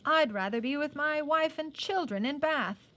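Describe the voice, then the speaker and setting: An older British army medical officer from a historical storybook , acted out by a woman reading aloud. The voice sits on the high side, with a civil, serious manner. The delivery is measured and conciliatory. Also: fairly bright tone, a little hiss or hum. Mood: earnest, conciliatory.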